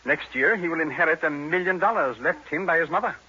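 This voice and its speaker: Pompous old rich gentleman, bright, forceful voice. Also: a little high, fairly quick, breathy edge. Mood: stiff, precise. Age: 60s. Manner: formal, pompous, precise. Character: wealthy, haughty man of sixty, stiff and precise. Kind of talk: 1940s radio drama acting. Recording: dull vintage radio recording.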